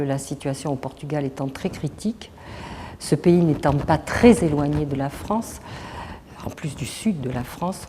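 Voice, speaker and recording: A middle-aged woman, a teacher, calmly explaining her association in an interview, with frequent hesitations. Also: low-pitched. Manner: measured, explanatory, many hesitations. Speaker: teacher running a French course association. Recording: echoey hall, faint background noise.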